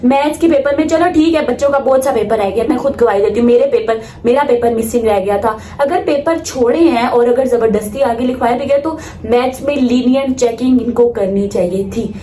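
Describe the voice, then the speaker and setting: A woman's voice: fairly high pitch, fairly quick, few pauses, dark tone, roomy sound, a little hiss or hum, poor audio. A woman in her late teens with a Pakistani accent talks casually to her viewers in an emphatic, opinionated way, mixing in English phrases. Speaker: student vlogger. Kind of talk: casual vlog talk to viewers.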